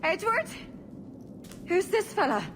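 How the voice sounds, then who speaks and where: A tough-hearted pirate in distress, from a video game. Her high voice is strained and emotional, with wide swings and slow pacing. Loud music surrounds it. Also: sing-song, very noisy, full character voice. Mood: anxious, pained.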